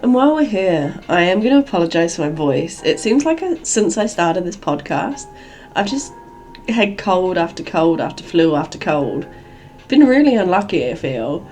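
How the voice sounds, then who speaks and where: A woman hosting a solo podcast, chatty and rambling, her voice gravelly and croaky from a cold. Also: fairly low pitch, big pitch swings, moderate pace, darkish tone, faint music behind. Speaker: podcast host.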